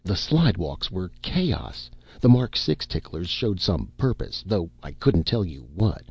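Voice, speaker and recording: A man voicing a terrified, frantic grown man whose voice strains and goes shrill as he pleads. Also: big pitch swings, a little slow, darkish tone, slightly rough, no echo, lo-fi sound, heavily acted. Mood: shaken. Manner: pleading, breaks into high frantic cries.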